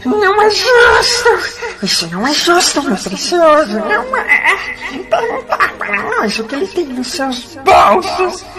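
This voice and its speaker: A woman's voice: sits high, very animated, a little slow, a loud crowd. A woman playing a wretched, obsessive cave creature in an audio drama, whining and muttering in petulant repeated phrases that break into whispers. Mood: indignant, whining. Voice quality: whiny, breaking into breathy whispers.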